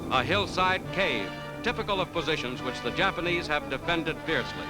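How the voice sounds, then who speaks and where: A man's voice: a little high, animated, a little fast, rough recording. An American man narrating a 1940s newsreel in a declamatory, dramatic manner. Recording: 1940s newsreel with loud music.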